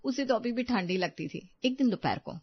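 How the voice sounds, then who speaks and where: A woman narrates a scripted family story. Her voice is on the high side. The recording is very dry and a little lo-fi. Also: lively intonation, a little fast, breathy edge, no echo.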